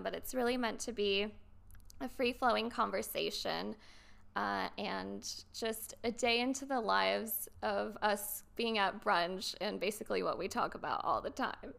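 A woman hosting a casual talk show, chatting with friends in a relaxed way with fillers. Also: mid-range voice, normal speed, gravelly. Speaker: podcast host. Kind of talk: casual chat.

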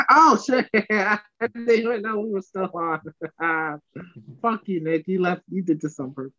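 A man co-hosting a casual podcast chat, quick-tongued and swearing casually. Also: high voice, sharp tone, no echo. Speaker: podcast co-host. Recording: dull, lo-fi dry mic.

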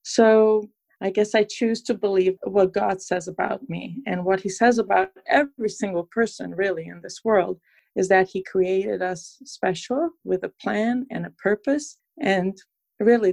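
A woman from Transylvania, Romania, speaking earnestly and in measured, articulate phrases as a podcast guest, reflecting in an interview. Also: medium pitch, gravelly, a little mellow.

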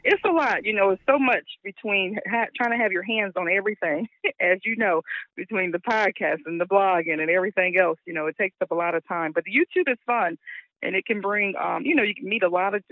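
A man's voice: sits high, fairly quick, no room sound. A man from Michigan calling in as a podcast guest, talking in an upbeat, chatty way. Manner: upbeat, talkative. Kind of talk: casual interview chat. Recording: tinny dry phone line.

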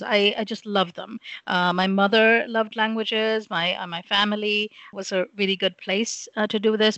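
A woman's voice: mid-range voice, fairly bright tone, no room sound. A middle-aged woman from Pakistan, a guest in an interview, speaking thoughtfully with many fillers and restarts and often ending her points with "right?". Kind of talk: reflective conversational interview.

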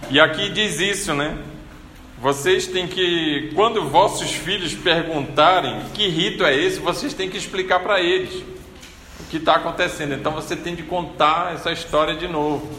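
A man preaching a sermon in a conversational storytelling manner, often tagging his points with a quick rhetorical question. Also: on the high side, sharp tone, a little hiss or hum. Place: church. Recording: echoey church hall with faint ambience.

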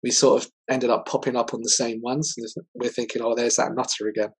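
A man co-hosting a casual podcast interview as the screenwriter, talking in a relaxed, rambling way with fillers. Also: mid-range voice, a little fast, slightly rough. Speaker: screenwriter co-host.

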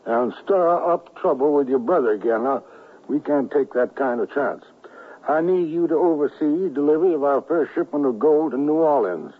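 A stern, suspicious ringleader in a western radio drama, issuing brisk orders. His voice is slightly husky, heard through a very narrow, phone-like old broadcast recording. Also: on the high side, expressive. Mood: firm, urgent. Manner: brisk, authoritative, giving orders. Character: commanding, suspicious male leader of a Confederate gold conspiracy.

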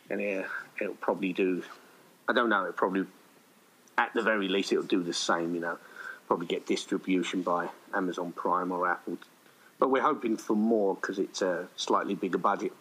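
A man with a fairly low voice talks as a guest in a casual interview. He rambles a little and hesitates, with a modest, self-deprecating way of explaining himself. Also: a bit bright, low-quality recording. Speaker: writer and director, podcast guest.

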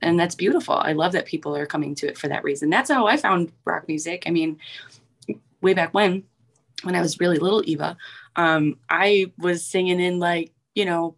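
A woman with a very deep voice talks as a podcast guest. She is enthusiastic and chatty, and she keeps checking in with "right?" as she speaks. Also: moderate pace, creaky. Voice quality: low voice. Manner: enthusiastic, uses lots of "like" and "right?". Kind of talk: casual podcast chat.

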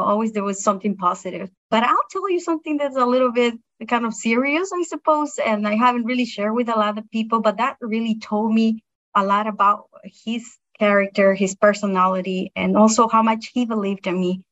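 A woman's voice: mid-range voice, highs cut off. A middle-aged woman with a non-native English accent, speaking earnestly and appreciatively as a guest in a reflective interview. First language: not English. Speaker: interview guest, produce industry science manager.

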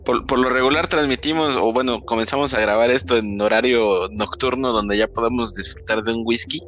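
A man hosting a casual podcast conversation, talking in a halting way with many restarts and fillers. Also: medium pitch, fairly even intonation, a bit bright, faint music behind. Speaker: podcast host.

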